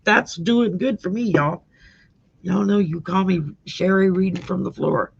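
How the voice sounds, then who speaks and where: A woman's voice: fairly low pitch, a little slow, creaky, dull audio, noisy background. A woman with a Cajun Louisiana accent hosting a live stream, giving a casual, folksy tarot reading with frequent ums. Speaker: host and tarot reader.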